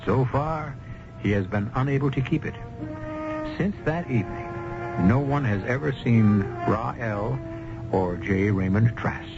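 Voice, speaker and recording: A man hosting and narrating a radio mystery in a measured, wry, theatrical showman's manner. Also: mid-range voice. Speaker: host and narrator. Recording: dull lo-fi old radio broadcast. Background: clear background music.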